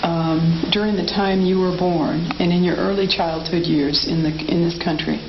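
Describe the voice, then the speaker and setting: A woman interviewer introducing her guest and asking gentle questions, with a few hesitations. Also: on the low side, fairly even intonation, normal speed. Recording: echoey room, dull lo-fi tape with hiss.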